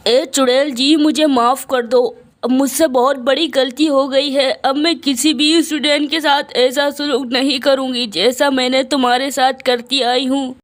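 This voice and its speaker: A woman's voice: high-pitched, little pitch movement, moderate pace, hardly any pauses, no echo, close to an everyday voice. A woman voicing a scheming, jealous female teacher who later pleads for her life. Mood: pleading. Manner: scheming, then pleading in fear.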